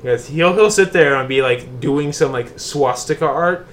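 A man's voice: fairly high pitch, normal speed, a little hiss or hum. A young man co-hosting a casual podcast, talking hesitantly with lots of fillers. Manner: hesitant, filler-heavy, laughs easily. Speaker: podcast co-host. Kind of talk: casual chat.

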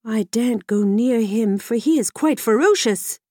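A woman's voice: on the high side, expressive, a little slow, mellow, dry recording, clean studio sound. A woman voicing an eager, somewhat timid young stable boy.

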